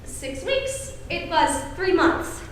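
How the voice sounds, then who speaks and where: A woman's voice: very high-pitched, sing-song, slow pace, full character voice. A teenage girl acting a bossy, fussy married hostess in an amateur stage play, with an emphatic manner. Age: teens. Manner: gushing, scolding, emphatic. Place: high school stage, filmed play. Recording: echoey stage, distant mic, faint noise.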